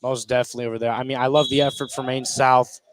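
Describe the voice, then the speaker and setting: A man with a middle-pitched voice acts as color commentator. He offers casual, conversational reactions during a live basketball broadcast. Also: level tone, quick pace, crowd noise.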